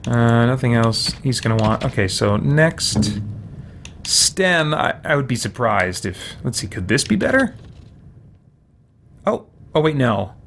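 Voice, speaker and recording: A man's voice: medium pitch, big pitch swings, unhurried, gravelly, a bit bright, a little hiss or hum. A man giving casual video game commentary, thinking aloud to himself in a hesitant, musing way. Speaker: host of a video game let's play.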